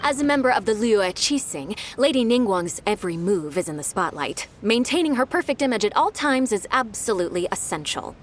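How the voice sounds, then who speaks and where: Lively, dutiful secretary voiced by a woman. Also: medium pitch, brisk, hardly any pauses, a little hiss or hum, lightly acted. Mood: businesslike. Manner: polite, businesslike, expressive. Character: dutiful, efficient female secretary to a noblewoman. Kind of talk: scripted video game dialogue. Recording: background music behind voice.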